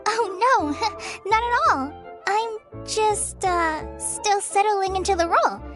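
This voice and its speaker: A woman's voice: very high-pitched, slow, a bit bright, exaggerated voice. A woman voicing a shy, earnest teenage trainee ranger girl in a video game, polite and hesitant. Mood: nervous, earnest. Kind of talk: video game character acting. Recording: music behind the voice.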